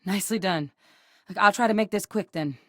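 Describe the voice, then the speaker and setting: A woman's voice: medium pitch, fairly quick, a bit bright, no echo, studio quality, lightly acted. An actress voicing a tough, no-nonsense female military veteran and scientist in a sci-fi video game, speaking in a clipped, matter-of-fact, commanding way. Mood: composed, matter-of-fact. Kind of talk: scripted video game character dialogue.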